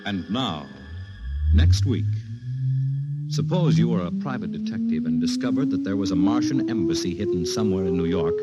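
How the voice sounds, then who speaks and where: A man announcing the credits and next week's show in formal 1950s radio style. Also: mid-range voice. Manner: crisp, emphatic, dramatic promo delivery. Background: music.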